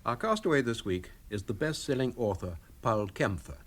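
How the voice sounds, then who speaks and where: An actor playing a courteous radio presenter interviewing a guest with polite, formal phrasing. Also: male voice, mid-range voice, animated, normal speed, husky.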